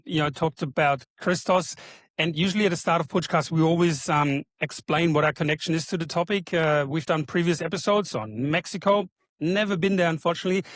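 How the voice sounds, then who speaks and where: A man's voice: fairly high pitch, a bit bright, no echo. A man hosting a podcast, talking in a chatty, rambling way with frequent ums. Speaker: podcast host. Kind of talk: casual podcast interview.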